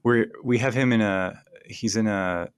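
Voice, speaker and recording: A man's voice: lowish voice, unhurried, clean tone, no echo, studio quality. A man in his thirties chatting casually as a podcast co-host, rambling and laughing easily. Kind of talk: casual chat.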